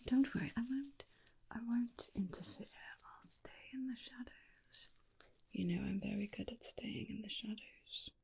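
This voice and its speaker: A woman voicing a tender, protective, faintly menacing vampire companion in a soft, soothing ASMR roleplay whisper. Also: on the high side, gravelly, slightly rough, heavily acted. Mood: fondly concerned. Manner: slow, soothing, coaxing with endearments. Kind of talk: ASMR roleplay, personal attention.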